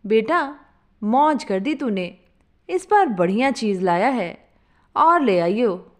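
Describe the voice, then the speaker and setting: A simple, doting village mother played by a woman storyteller speaks to her son in homely, colloquial words. The voice is on the high side and very animated, in the manner of a spoken comic story. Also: slow. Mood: delighted.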